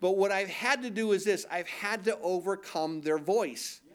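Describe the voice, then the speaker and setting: A man's voice: high-pitched, moderate pace, clear, resonant tone. A man with a nasal voice preaching a sermon as pastor, talking conversationally and throwing in rhetorical questions.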